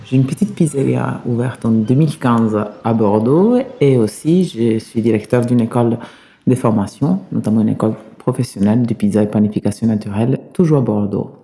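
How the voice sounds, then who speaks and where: A man's voice: medium pitch, a little fast, warm tone. A man from Sicily with an Italian accent, a native Italian speaker, answering interview questions in a hesitant way with many fillers and restarts. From Sicily, Italy. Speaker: pizzaiolo guest.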